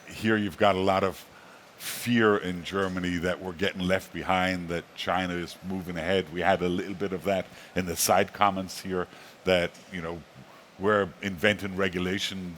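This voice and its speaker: Deep-voiced guy moderating, asks the panel stuff, light hiss. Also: steady tone, moderate pace, fairly bright tone. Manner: asking questions.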